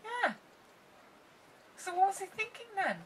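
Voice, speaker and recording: A woman's voice: very high-pitched, big pitch swings, a little slow, recorded from a distance, a crowd in the background. A Norwegian woman in casual chat, bluntly questioning the reviewer.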